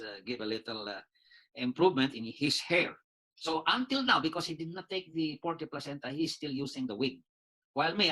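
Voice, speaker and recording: A Filipino-accented man in his sixties talks through a personal testimonial at a middle pitch. He speaks in a halting way, filling pauses with "uh" and repeating words. He often adds "you see" as he goes. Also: sharp tone, low-quality recording. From Philippines. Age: 60s. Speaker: doctor and lawyer giving a product testimonial. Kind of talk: casual spoken testimonial.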